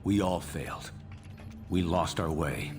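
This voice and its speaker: An actor playing a principled soldier superhero leader, earnest and firm, in a video game cutscene. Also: male voice, low voice, unhurried, music in the background. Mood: grave.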